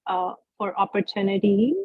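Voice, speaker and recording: A woman from India, a poet, reading her poem and then answering questions in a slow, measured, reflective way. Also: mid-range voice, flat delivery, no echo, narrow, tinny audio. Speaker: guest poet. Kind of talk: poetry reading and interview answers.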